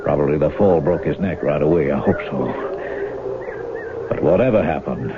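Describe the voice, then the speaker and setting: An actor playing a menacing, obsessive older farm brother in a 1970s radio drama, folksy, insistent and brooding. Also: male voice, rumbling low, a little slow, heavy background noise. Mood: grim, insistent. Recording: muffled 1970s radio broadcast, music behind.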